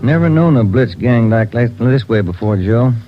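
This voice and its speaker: A man's voice: medium pitch, animated, normal speed, faint music behind, natural voice. An American man playing a weary, easygoing police detective in laconic 1940s radio drama style. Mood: matter-of-fact. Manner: laconic, drops sentence subjects. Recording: dull old radio recording.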